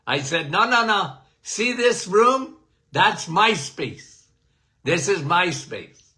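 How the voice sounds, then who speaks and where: An older man, a doctor hosting a podcast, giving a casual and emphatic health talk, punctuated by "okay?" and self-described as loud-voiced. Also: high-pitched, slow pace. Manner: animated, emphatic, repeats "okay?"; says he has a loud voice.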